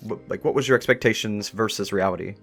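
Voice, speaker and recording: A man's voice: fairly low pitch, fast talker, a bit bright, soft background music. A man hosting a thoughtful interview conversation, speaking in a reflective, wordy way as he talks ideas through. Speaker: podcast host interviewing creators.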